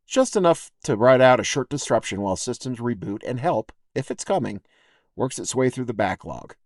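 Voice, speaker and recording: A man reads a scripted audio essay in a wry, plain-spoken, colloquial way, setting off short phrases with deliberate pauses. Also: medium pitch, lively intonation, dry recording, studio quality. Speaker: podcast writer and host.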